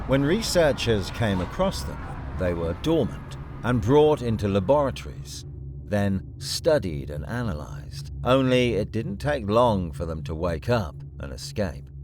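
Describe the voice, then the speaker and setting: Grim, animated task force leader. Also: male voice, on the low side, a little hiss or hum. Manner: terse, commanding military delivery. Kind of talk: scripted audio drama narration and briefing.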